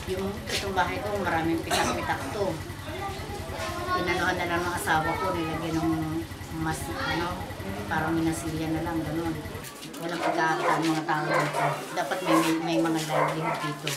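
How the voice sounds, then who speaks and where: A Filipino woman giving informal testimony as a resident, complaining in a halting, repetitive way. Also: fairly low pitch. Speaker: relocation site resident being interviewed. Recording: echoey, distant mic, very noisy surroundings. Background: loud ambient noise.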